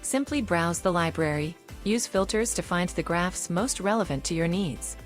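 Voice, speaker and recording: A woman narrator reading a scripted software tutorial in a measured, instructional way. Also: lowish voice, animated, soft background music.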